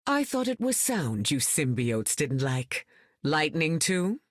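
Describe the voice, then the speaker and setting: An actress playing a regal, composed mutant heroine in a video game, with a stately, measured manner and dry wit. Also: female voice, low voice, very animated, no room sound, exaggerated voice. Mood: proud, wry. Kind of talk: video game character lines.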